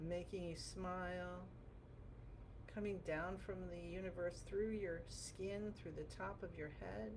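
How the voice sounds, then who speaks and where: Gravelly-voiced woman leading qigong. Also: on the low side, steady tone, unhurried. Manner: gentle, encouraging, informal. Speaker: qigong teacher. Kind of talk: guided movement and meditation instruction. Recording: clearly noisy background.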